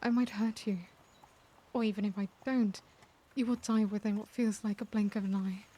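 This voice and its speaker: A woman playing a caring, remorseful vampire lady in an ASMR roleplay, speaking gently and formally in a soft, breathy voice that slips into whispers. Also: medium pitch, fairly even intonation, normal speed, close to an everyday voice. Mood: regretful, resigned. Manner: formal, old-fashioned, gentle address. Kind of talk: ASMR roleplay, scripted dramatic monologue.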